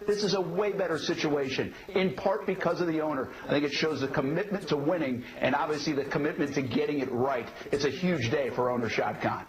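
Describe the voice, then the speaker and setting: A man delivers live sports commentary in a somewhat high-pitched voice. His delivery is emphatic yet conversational, as a TV reporter on breaking news. Clear control-room chatter runs behind him. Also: poor audio.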